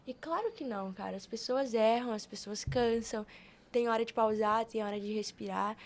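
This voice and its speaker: A woman's voice: on the high side, moderate pace. A young woman in casual conversation, speaking earnestly and colloquially, repeating phrases for emphasis in a motivational way. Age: young adult. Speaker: podcast guest.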